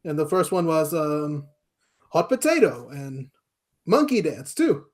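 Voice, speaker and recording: Male podcast guest from America, notably high-pitched voice, casual, hesitant delivery in short halting phrases. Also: sing-song. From United States. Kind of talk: casual chat.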